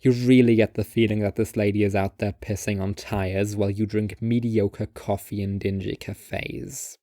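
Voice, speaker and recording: Low-voiced man narrating, dry close sound. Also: flat delivery, normal speed, dark tone, no room sound. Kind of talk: scripted second-person story narration.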